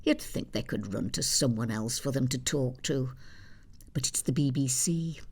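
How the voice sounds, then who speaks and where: A woman's voice: very deep voice, moderate pace, darkish tone, husky, exaggerated voice. An actress playing an elderly, plain-spoken Yorkshire mother, telling a dry, gossipy monologue in a conversational way. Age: older. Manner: dry, matter-of-fact, gossipy. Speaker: actor in a theatre monologue. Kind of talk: conversational storytelling stage monologue.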